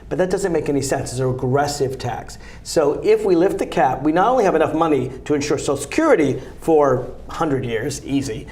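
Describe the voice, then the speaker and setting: A man with a fairly high speaking voice makes his campaign pitch in a TV studio interview. He talks fast and emphatically, pressing each point like a fighter. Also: a little mellow. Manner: emphatic, combative, rapid-fire argument. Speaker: congressional candidate. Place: local cable TV studio.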